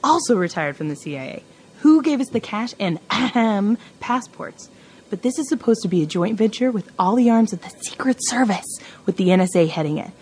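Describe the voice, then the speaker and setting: An actress playing a brash, confident amateur sleuth in an audio drama, blunt and sarcastic. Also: female voice, medium pitch, animated, brisk, darkish tone, husky. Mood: confident, explaining. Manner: sarcastic, blunt, self-assured.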